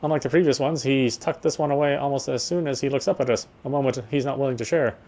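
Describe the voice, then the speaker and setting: A man's voice: medium pitch, quick pace, darkish tone. A man reading first-person visual novel text aloud as narration, stumbling now and then over words.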